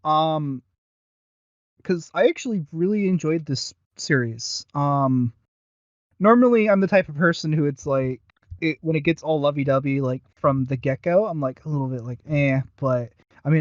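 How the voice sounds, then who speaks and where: A man's voice: mid-range voice, moderate pace, no room sound, highs cut off. A man co-hosting a podcast, talking casually and somewhat hesitantly as he reviews audio dramas. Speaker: podcast co-host.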